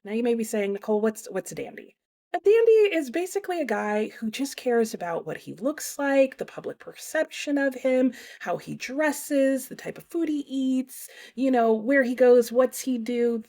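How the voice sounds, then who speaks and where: A young woman hosting her book channel, announcing a readalong in a chatty, casual way with lots of fillers and lively swings in pitch. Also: normal speed. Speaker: booktube host.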